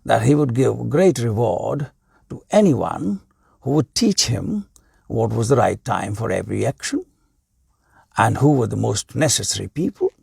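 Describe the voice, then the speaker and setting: Male storyteller. Raspy, slow delivery with deliberate pauses, reading a scripted tale aloud. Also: mid-range voice, a little mellow, dry recording, studio quality. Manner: deliberate, with pauses between short phrases.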